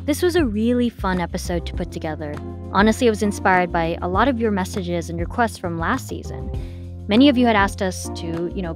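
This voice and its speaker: A woman hosting a podcast, talking in a friendly conversational way. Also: mid-range voice. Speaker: podcast host.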